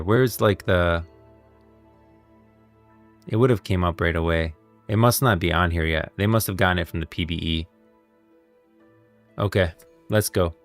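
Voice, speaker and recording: A man giving casual livestream commentary on game lore, rambling and thinking aloud as a streamer. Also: fairly low pitch.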